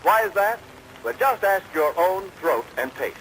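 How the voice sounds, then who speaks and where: Male American announcer, rather high voice, polished sales read, 1940s radio, faint noise. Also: lively intonation, measured pace, a bit bright, low-quality recording. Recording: old radio broadcast, rain overlaid.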